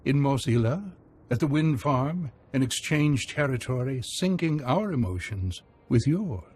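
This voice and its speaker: An actor playing a sinister old cell leader in a video game, speaking with courtly, cool menace. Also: male voice, mid-range voice, expressive, a little slow, slight background noise, lightly acted. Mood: smug, menacing. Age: older. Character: sinister old man leading a hidden cell, coolly menacing. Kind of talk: video game cutscene acting. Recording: game audio over loud music.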